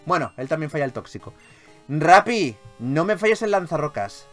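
Male gaming YouTuber, Castilian accent, highly animated, slightly high voice, free with swearing, music underneath. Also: moderate pace. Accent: Castilian Spanish from Spain. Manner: animated, expressive, swears freely. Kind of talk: excitable let's play commentary.

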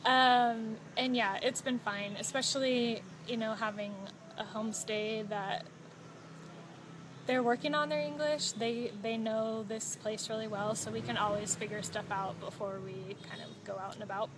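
Woman, 30s, travel livestreamer; relaxed explainer, slightly high voice, phone mic outdoors with noise behind. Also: normal speed. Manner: relaxed, informative, with fillers and little laughs. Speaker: travel vlogger. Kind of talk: casual live chat. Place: outdoors at a home stay patio. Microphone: phone held close.

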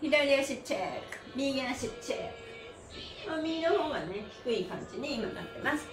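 High-pitched cheerful woman leading exercise, echoey room. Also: animated, moderate pace, music in the background. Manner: cheerful, encouraging, often laughing. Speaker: stretching class instructor. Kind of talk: live exercise class with chanted counts and chat to viewers. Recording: roomy echo, faint background noise.

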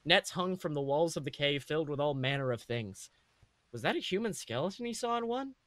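A man's voice: on the high side, expressive, brisk, a bit bright, dry recording. A man reading comic fan fiction aloud as a podcast host, narrating in an amused way. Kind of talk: comedic story narration.

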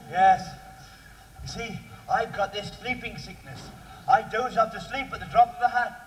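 A man's voice: high-pitched, moderate pace, slight background noise, close to an everyday voice. A man playing a silly, sleepy young lad in a broad stage pantomime, clowning and exclaiming to the audience. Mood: dozy, startled. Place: stage of a large theatre hall. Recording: echoey theatre hall, faint music.

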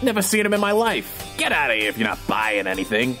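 Man as a brusque, very animated bartender. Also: mid-range voice, fast, faint music behind. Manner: brusque, informal. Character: gruff, wary male tavern bartender. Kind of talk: audio drama acting.

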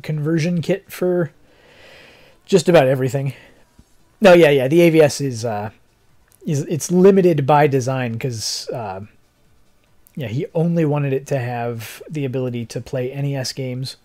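A young adult man who streams games, chatting casually in a rambling, technical way, with a slightly hoarse voice. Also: medium pitch, warm tone. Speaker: game streamer. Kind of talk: casual livestream chat. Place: living room, seated in armchair indoors.

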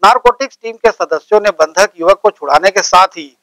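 A male news anchor reading a scripted news introduction in a formal, measured way. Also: high-pitched, fairly bright tone, no room sound. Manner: formal, measured read delivery.

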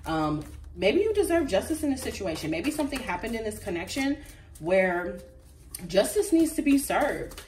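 A woman's voice: mid-range voice, big pitch swings, moderate pace, darkish tone, far from the mic. A woman reading tarot cards to camera in a casual, chatty way, a little congested from a recent flu, with frequent ums and laughs. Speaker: tarot reader. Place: indoors at a table with crystals.

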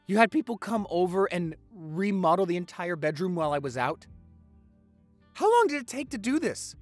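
A young man playing an affectionate, excitable vampire boyfriend in an intimate audio roleplay, gushing and stammering. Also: sits high, exaggerated voice. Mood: overwhelmed, grateful. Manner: gushing, stammering, repeats himself.

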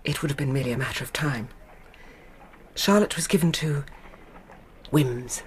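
A woman's voice: a bass voice, moderate pace, a little hiss or hum. An actress playing a grieving, composed Victorian mother in a radio drama, formal and restrained, with an English accent. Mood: grave, restrained.

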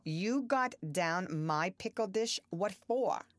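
Lady reading as a sour sickly farm wife, shrill and quavery, new twang, swings up and down a lot, dry close sound. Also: very animated, measured pace, no echo. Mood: shrill accusing. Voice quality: shrill, trembling, accusing. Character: bitter, sickly middle-aged rural farm wife.

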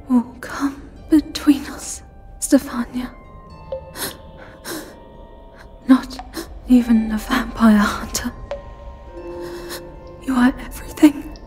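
A woman voicing a jealous, heartbroken living doll in a dramatic ASMR roleplay, breathy and halting, pleading through tears. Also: high-pitched, a little mellow, pitched above the performer's own voice. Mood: desperate, tearful. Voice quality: breathy, often whispering.

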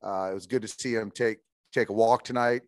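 Low-voiced man fielding press questions. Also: fairly even intonation, dry recording, studio quality. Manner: measured, many fillers and restarts. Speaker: baseball team manager. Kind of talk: postgame press conference answers. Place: indoors in front of a logo backdrop.

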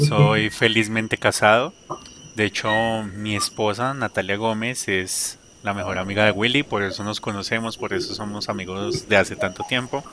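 A man in his thirties, a guest sharing his faith story on a video call through a headset, talking warmly with frequent laughs and fillers. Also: fairly low pitch, moderate pace, noisy background. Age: 30s. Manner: warm, laughs often, hesitant fillers. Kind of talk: personal testimony in an interview.

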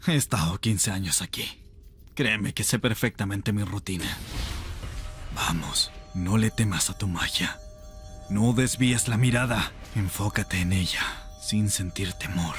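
A man voicing a chained rebel mage in an ASMR roleplay, intense, seductive and tender, with a breathy, gravelly voice. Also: on the low side, unhurried, a bit bright, soft background music. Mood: tender, coaxing.

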